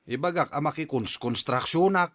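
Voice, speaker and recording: A man playing an excitable, questioning man of the household in a Filipino drama, with a Filipino accent. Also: fairly high pitch, normal speed, natural voice. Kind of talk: Filipino radio-style drama acting.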